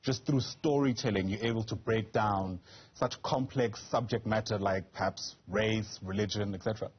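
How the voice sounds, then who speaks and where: Male news interviewer, lowish husky voice, formal and thoughtful, slightly dull audio. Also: steady tone, normal speed. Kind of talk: conversational broadcast interviewing.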